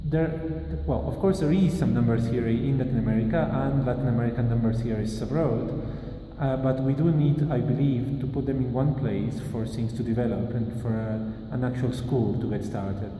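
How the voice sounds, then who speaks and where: A man in his thirties from Peru speaks in a very dark, mellow voice, pausing and restarting as he thinks. He is recorded on a phone held at arm's length in a large empty classroom. The room adds a long, roomy reverb and a faint hiss. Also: medium pitch, fairly even intonation. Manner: thoughtful, with many restarts and fillers. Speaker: mathematician, school organizer. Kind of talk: casual interview answers. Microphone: phone camera at arm's length.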